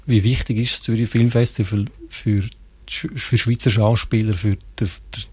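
Swiss German male podcast host, slightly husky, dry close mic, easygoing interviewer. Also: lowish voice, moderate pace, no room sound. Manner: relaxed, repeats words, friendly wrap-ups. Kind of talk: casual interview and show outro.

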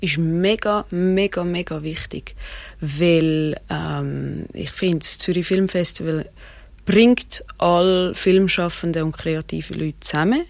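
A woman's voice: lowish voice, slow pace, creaky, no echo. A woman with a Swiss German accent, an actress guest chatting casually, laughing often and mixing in English phrases. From Switzerland. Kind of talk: casual interview chat.

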